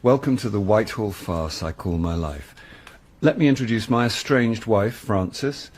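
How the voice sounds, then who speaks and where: A man's voice: lowish voice, measured pace, a little mellow, husky. A man playing a wry, philandering middle-aged actor and amateur sleuth in a radio comedy drama, dry and flippant. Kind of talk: radio comedy-drama acting with first-person narration.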